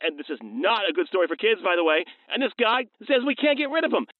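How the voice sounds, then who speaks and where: Casting: actor as frightened, exasperated dad, animated delivery, dry tinny phone sound, audio horror comedy. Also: male voice, high voice, fast, dry recording. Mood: agitated, creeped out. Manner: urgent, rambling, exasperated. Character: agitated father pleading about a storybook monster. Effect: phone line. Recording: thin, dry phone line.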